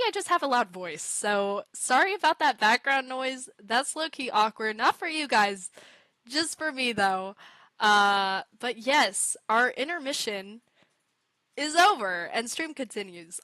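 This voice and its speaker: A woman's voice: a little high, sharp tone, no room sound. A young woman streamer chatting casually to her viewers while playing a game, giggly and a bit self-conscious. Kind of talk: casual live gameplay chat.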